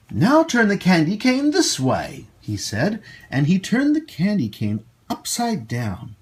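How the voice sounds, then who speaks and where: A man hosting storytime for children, reading and talking to them in an expressive, emphatic way. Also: sits high, husky. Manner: animated, slow, emphatic delivery for young children. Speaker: storytime host and children's teacher.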